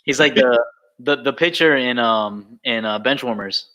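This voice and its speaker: A man's voice: medium pitch, normal speed, bright, forceful tone, dull audio. A man co-hosting a podcast in casual, slangy group chat, often repeating himself as he agrees. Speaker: podcast co-host.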